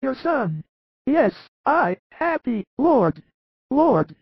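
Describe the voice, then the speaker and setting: A man voicing a frail, dying old grandfather, gentle and loving, in a high, thin, trembling voice. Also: big pitch swings, drawn-out words, creaky, breathy edge, dry recording, exaggerated voice. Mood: faint, confused. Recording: dull lo-fi dry recording.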